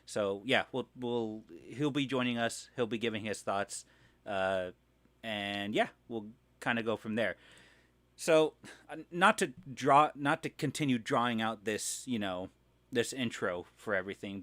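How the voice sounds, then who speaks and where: A man hosting a live stream, running casually through news in a halting, rambling way and often correcting himself. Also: on the low side, a bit bright, no echo.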